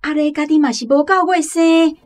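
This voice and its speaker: A woman playing a nosy, excitable village gossip with a Taiwanese accent. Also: high-pitched, a little fast, soft background music, natural voice. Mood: excited gossiping.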